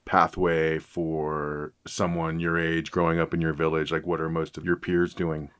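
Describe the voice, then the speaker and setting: An interviewer asking measured, curious follow-up questions. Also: a bass voice, little pitch movement, clean tone, no echo, clean studio sound.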